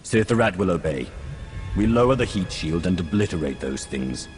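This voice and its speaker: An actor playing a commanding, cold Sith lord in a video game, curt and authoritative. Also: male voice, deep voice, lively intonation, normal speed, a little mellow, faint music behind. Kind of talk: video game cutscene acting.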